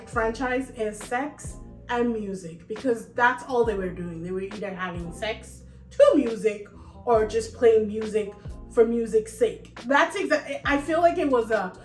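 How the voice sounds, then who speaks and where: A woman reviewing movies on her channel in a chatty, animated way, laughing often. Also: fairly high pitch. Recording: echoey room with faint music.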